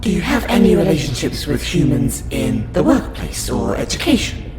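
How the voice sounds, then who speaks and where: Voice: medium pitch, very animated, moderate pace, close to an everyday voice. A performer with a woman's voice playing a courteous, curious alien scientist in an audio roleplay, questioning a human in formal, clinical wording. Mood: polite curiosity. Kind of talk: audio roleplay monologue to the listener. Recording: roomy echo under loud music.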